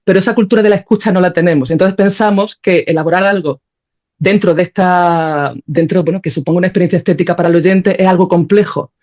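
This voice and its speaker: A woman's voice: fairly low pitch, fairly even intonation, fast talker, a little mellow. A woman gives conversational interview answers in a reflective, emphatic way, reworking her phrases as she thinks. The recording is a little dull and lo-fi. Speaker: podcast guest, audio creator and musician. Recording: slightly dull lo-fi audio.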